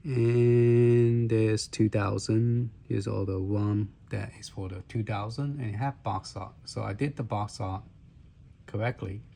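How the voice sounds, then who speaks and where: A man with a lowish, slightly hoarse voice clears his throat now and then. He talks through a gaming device's menus in a loose, halting way and often fills his pauses. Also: steady tone, dark tone. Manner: halting, many fillers and restarts. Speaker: handheld gaming reviewer. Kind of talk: casual walkthrough demo.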